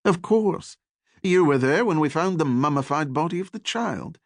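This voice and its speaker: A man's voice: on the high side, expressive, moderate pace, no echo, studio quality, voice pushed up. A man voicing an eager elderly archaeology professor, courteous and scholarly, who lectures with animation in an audiobook.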